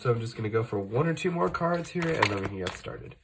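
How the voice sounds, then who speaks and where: A man's voice: mid-range voice, animated, fast talker, noisy background. A man with a slight cold speaks in a mid-range voice as he walks viewers through a tarot reading. His delivery is loose and conversational, full of "uh" and "like," and he often catches and corrects himself mid-sentence. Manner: conversational, self-correcting, many fillers. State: fighting off a cold, occasional cough. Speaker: tarot reader, channel host. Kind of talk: casual tarot reading to camera.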